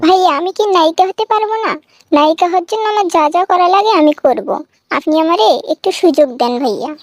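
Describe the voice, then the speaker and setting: Woman doing a squeaky vain Bangladeshi starlet. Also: expressive, fast talker, faint music behind. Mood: pleading. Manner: boastful, wheedling. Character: vain, pleading young woman desperate to be a film heroine. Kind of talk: comic cartoon dialogue.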